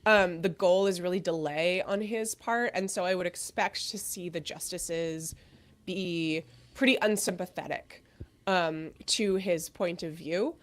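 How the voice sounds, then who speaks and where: A young woman with a hoarse voice from a cold, giving a thoughtful legal analysis on a live stream, with many pauses and fillers. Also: fairly low pitch. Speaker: legal commentator.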